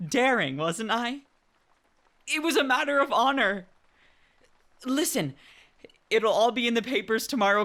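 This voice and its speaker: A woman's voice: on the high side, fairly bright tone. A woman playing a self-important, childishly eager young hero, boasting earnestly in a radio play.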